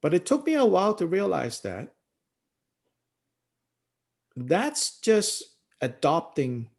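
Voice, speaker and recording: A middle-aged man leads a book study as a Buddhist teacher. His voice is husky with a gravelly edge. His pitch swings freely as he muses aloud, pausing often and chuckling between thoughts. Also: a little mellow. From Malaysia. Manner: thoughtful, unhurried, many "you know"s and pauses, chuckles often. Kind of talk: reflective discussion talk.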